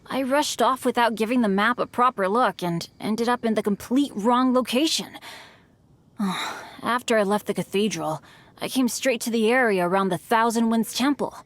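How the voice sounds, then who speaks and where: A woman voicing an earnest, excitable, boyish teenage adventurer in a video game. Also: a little high, moderate pace, natural voice. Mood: dramatic recounting. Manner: boyish, excitable, dramatic storytelling. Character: earnest, eager, unlucky teenage boy adventurer.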